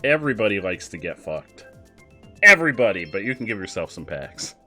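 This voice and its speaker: A young man casually commenting on a kart race while chatting with his viewers, excitable and quick to laugh. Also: fairly low pitch, faint music behind. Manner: excitable, giggly, chats with viewers. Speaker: streamer.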